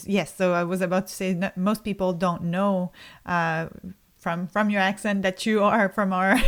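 A woman hosting a casual interview, asking friendly, halting questions with small stumbles. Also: medium pitch. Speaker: interview host.

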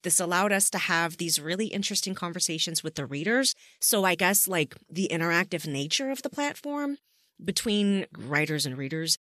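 A woman's voice: fairly low pitch, expressive, normal speed, a bit bright, no room sound, clean studio sound. A woman hosting a podcast interview in a casual, chatty way, curious and encouraging toward her guest. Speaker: podcast host.

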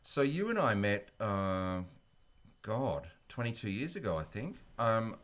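A man's voice: on the low side, sing-song, unhurried, fairly bright tone. A man, co-hosting a podcast. He sounds nasal and congested, and tells stories in a candid, wry way. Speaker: podcast co-host. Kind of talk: casual conversational interview.

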